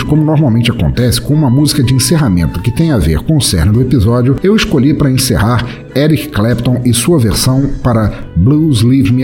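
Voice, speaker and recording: A man's voice: medium pitch, darkish tone. A Brazilian man speaking as a podcast host, chatting informally and chummily with his listeners in casual commentary. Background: music under the voice.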